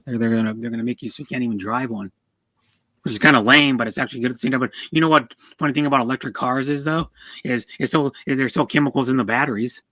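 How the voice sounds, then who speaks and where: A man talks casually on a livestream at an average pitch. He rambles and stammers, often repeating words as he goes. The sound is dry, with no room echo. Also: steady tone, fast talker, a bit bright. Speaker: streamer. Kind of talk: casual car chat on a livestream.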